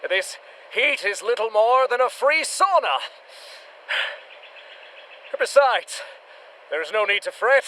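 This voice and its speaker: A man's voice: very high voice, big pitch swings, takes it slowly, pauses often, sharp tone, a little hiss or hum, exaggerated voice, rough recording. A man playing a boastful, pompous big-game hunter in a radio play. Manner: pompous, theatrical, self-praising.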